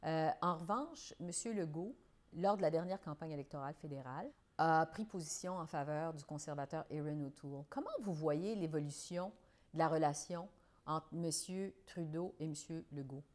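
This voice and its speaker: A woman hosting a political interview, asking measured, precise questions. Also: deep voice, a little mellow. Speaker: interviewer, show host.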